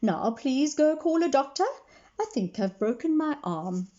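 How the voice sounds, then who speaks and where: A woman voicing a composed, firm but kindly head librarian in a children's storybook, measured and polite. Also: high-pitched, big pitch swings, warm tone, muffled recording, pitched above the performer's own voice.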